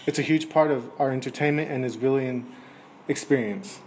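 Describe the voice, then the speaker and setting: A man's voice: medium pitch, brisk, a little mellow, soft background music, natural voice. A man playing a polite, enthusiastic aspiring musician in a 1920s radio interview. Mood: earnest. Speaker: musician guest. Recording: noisy amateur recording.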